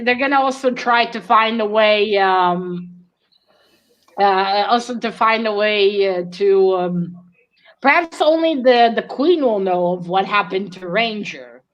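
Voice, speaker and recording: A woman's voice: a little high, measured pace, dull audio, a little hiss or hum. A woman co-hosting a read-along in casual discussion, thinking aloud and speculating with some hesitation. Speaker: co-host of a book read-along.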